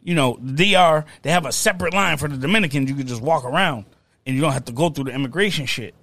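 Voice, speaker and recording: A man co-hosting a podcast, telling stories in a lively casual chat and laughing often. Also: on the high side, quick pace, dry recording. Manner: animated storyteller, laughs a lot. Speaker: podcast co-host.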